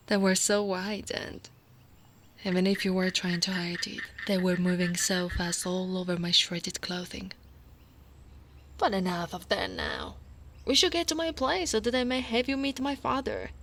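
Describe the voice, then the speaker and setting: A woman from Italy voicing a short female orc guard in intimate ASMR roleplay, gently dominant and doting, soft and breathy with slips into whispering. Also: lowish voice, sing-song, measured pace, full character voice. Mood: teasing, reassuring. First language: Italian.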